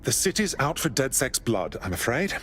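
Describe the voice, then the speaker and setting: A genteel British resistance recruit in a game cutscene. The pitch moves around expressively while the manner stays polite, arch and dryly witty. There is audible ambient noise behind the voice. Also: male voice, big pitch swings, moderate pace, a bit bright. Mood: dry, concerned. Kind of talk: video game cutscene acting.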